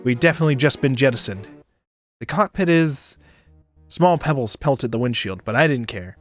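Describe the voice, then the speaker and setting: A man doing a dramatic narration of a visual novel for a stream, expressive, sometimes stumbling and joking. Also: medium pitch, normal speed, dry recording. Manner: expressive, stumbles and rereads lines. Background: music behind the voice.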